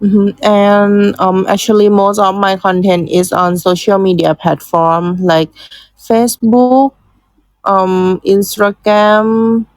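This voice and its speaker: A woman's voice: mid-range voice, flat delivery, slow, a little mellow, no echo, rough recording. A young woman from Thailand speaking English with a Thai accent in casual conversation, hesitant and often restarting as she searches for words. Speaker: guest, content writer.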